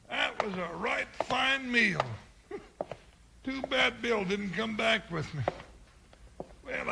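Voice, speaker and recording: An actor playing a folksy, anxious, bumbling cowboy sidekick in a 1950s radio western, drawling and exclaiming. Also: male voice, sits high, heavily acted. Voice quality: creaky, gravelly. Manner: drawling, muttering to himself, exclaiming. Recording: noisy 1950s radio broadcast.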